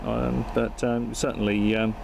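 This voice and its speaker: A man's voice: mid-range voice, flat delivery, unhurried, creaky, noisy background. Chatty man making casual radio talk. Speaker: amateur radio operator. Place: vehicle outdoors in Perth suburbs. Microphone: handheld camera.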